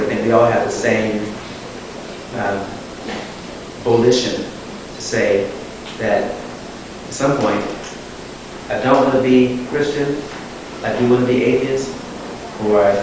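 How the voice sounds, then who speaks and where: A man giving a public talk, answering an audience question in a thoughtful, measured way, often restarting his phrases. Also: on the low side, steady tone, noisy background. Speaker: guest speaker. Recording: echoey hall taped from far off.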